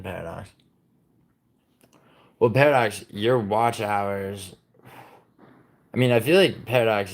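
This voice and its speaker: A man with a very deep, low voice talks loosely on a live stream, answering his viewers as their messages come in. He rambles, swears freely and keeps restarting his sentences with "like" and "I mean". He was born in Italy and raised in New York. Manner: rambling and profane, with many "like" and "I mean" fillers and restarts. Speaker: livestreamer chatting with viewers.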